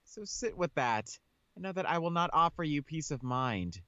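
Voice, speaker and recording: A man voicing a haughty, sharp-tongued schoolgirl with a cutting, formal, condescending way of talking in a visual novel. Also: a little high, animated, moderate pace, clear, resonant tone, dry recording, dull audio, voice pushed up. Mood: cold, indignant.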